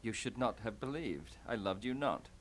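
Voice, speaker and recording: A man playing a tormented, scornful young prince in classical Shakespearean style, with theatrical, deliberate delivery. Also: lowish voice, expressive. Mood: cold, subdued. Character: brooding, tormented young prince who turns scornful and furious. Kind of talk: classical Shakespearean stage acting.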